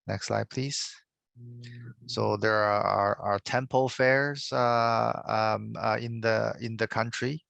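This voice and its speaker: A man giving a slide presentation over a video call, halting with many fillers. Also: on the low side, level tone, creaky, fairly bright tone, dry recording. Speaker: guest presenter. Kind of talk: slide presentation on holiday traditions.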